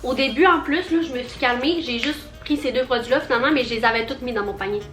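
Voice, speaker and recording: A young Quebec woman chats in a high, bubbly voice, rambling and filling her sentences with little fillers. Her voice rings a bit in an echoey room. Soft music plays quietly beneath her. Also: fast talker. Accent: Quebec French. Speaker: vlogger. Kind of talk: casual haul vlog.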